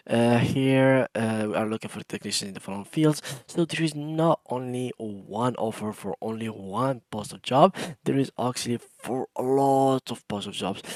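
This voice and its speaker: A man's voice: medium pitch, darkish tone, no echo. Casual Moroccan-accented man, close dry sound. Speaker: tutorial host. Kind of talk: casual screen-share tutorial.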